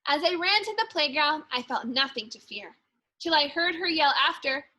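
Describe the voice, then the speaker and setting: A woman with a very high, bright voice reads a children's picture book aloud as a primary school teacher would. Her delivery is expressive and deliberate, leaning on key words for dramatic emphasis. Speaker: second grade teacher.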